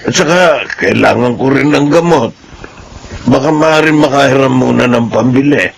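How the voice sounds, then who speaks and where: A man's voice: mid-range voice, moderate pace, gravelly, crowd noise, close to an everyday voice. A man playing a sickly, needy elderly father in a radio drama. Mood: pleading. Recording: noticeable background noise.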